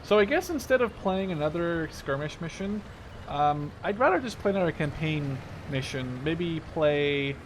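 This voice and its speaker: A man's voice: on the high side, lively intonation, normal speed, background noise. A man in his twenties giving casual gameplay commentary, thinking aloud informally as he plays. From Netherlands. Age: 20s. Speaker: gaming video host.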